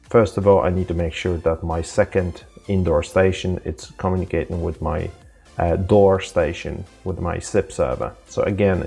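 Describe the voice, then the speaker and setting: A man with a very low, husky and creaky voice presents a software tutorial. He talks informally and haltingly, taking the listener through each step without a script, with faint music behind him. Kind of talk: unscripted software walkthrough.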